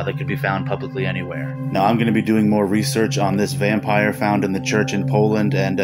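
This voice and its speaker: A man hosting paranormal videos in a casual, matter-of-fact, conversational explainer style. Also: low-pitched. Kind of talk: casual explainer commentary to camera. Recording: music playing behind the voice. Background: loud background music.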